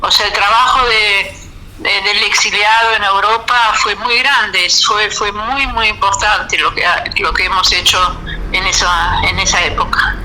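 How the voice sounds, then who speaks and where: Bright-voiced woman, slow and hesitant. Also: medium pitch, fairly even intonation, slight background noise, poor audio. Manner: measured, hesitant with many fillers and restarts. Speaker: guest. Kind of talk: radio interview, reflective. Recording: radio studio.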